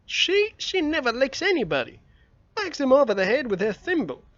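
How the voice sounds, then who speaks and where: Guy putting on a sly little boy, high and bouncy, all wheedling and bargaining, dull dry sound. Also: wildly swooping pitch, moderate pace, fairly bright tone, dry recording, full character voice. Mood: coaxing. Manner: eager, persuasive, bargaining. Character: scheming young boy, coaxing and wheedling.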